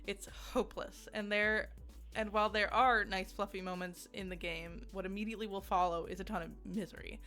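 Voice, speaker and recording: A woman's voice: medium pitch, fairly even intonation, brisk, bright, forceful tone. A woman giving a casual, chatty game review, rambling and interrupting herself, with a giggly manner. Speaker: video game reviewer and streamer. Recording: music under the voice. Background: background music.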